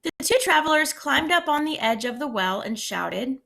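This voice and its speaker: A teacher reading a picture book aloud to young children, with expressive voices and little asides to the class. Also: female voice, sits high, gravelly. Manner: animated, pausing to comment to children. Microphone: screencast computer mic.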